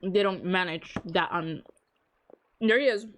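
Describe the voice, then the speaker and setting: A woman's voice: fairly low pitch, unhurried. A woman from the United States giving casual, chatty, playful reaction commentary as a game streamer. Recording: clear background noise, clicks.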